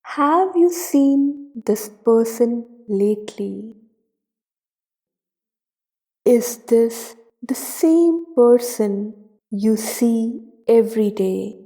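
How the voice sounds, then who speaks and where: Very slow, mellow woman guiding meditation. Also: a little high, expressive. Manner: soothing, deliberate, with long pauses between short phrases. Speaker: meditation guide. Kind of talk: guided meditation narration.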